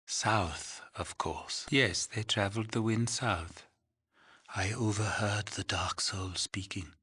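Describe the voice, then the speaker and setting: A man playing an old, mystical village shaman in a video game, speaking solemnly and poetically in a husky, breathy, ceremonious way. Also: on the low side, sharp tone, exaggerated voice. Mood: weak, grieving. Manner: slow, ceremonious, poetic phrasing. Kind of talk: dramatic video game character acting.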